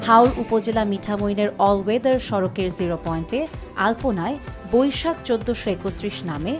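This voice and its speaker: A woman with a Bangladeshi accent narrates a scripted TV news report. Her pitch is average for a woman, and the music behind her is loud. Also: normal speed.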